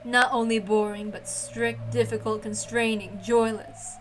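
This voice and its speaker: A woman's voice: fairly high pitch, level tone, measured pace, slight background noise, close to an everyday voice, low-quality recording. A woman voicing a mysterious, condescending witch with theatrical, formal speech for a visual novel reading. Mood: mocking pity. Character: mysterious golden butterfly witch, condescending, welcoming.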